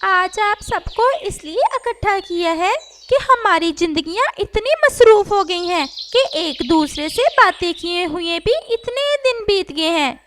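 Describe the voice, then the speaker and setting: Woman as cartoon male parrot, extremely high voice, lively swoops, bossy, sarcastic, emphatic. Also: measured pace, few pauses, noisy background. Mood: earnest. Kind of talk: children's cartoon voice acting.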